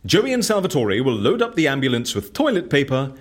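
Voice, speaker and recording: An eccentric, suave male fixer in an audio drama. His husky voice swings extravagantly up and down, breezy and theatrical, with a dry, playful flippancy. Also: medium pitch, wildly swooping pitch, a little fast. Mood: breezy, businesslike.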